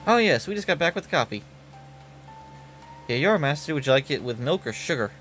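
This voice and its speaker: A man voicing a calm, polite young woman knight in a game, earnest and gentle. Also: a little high, brisk, soft background music.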